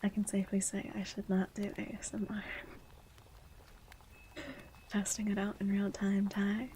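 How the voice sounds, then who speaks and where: A woman whispering softly, chatting and reading a legend in an ASMR stream, with a feminine voice on the low end of the register. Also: little pitch movement, measured pace, slight background noise. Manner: soft unvoiced whispering.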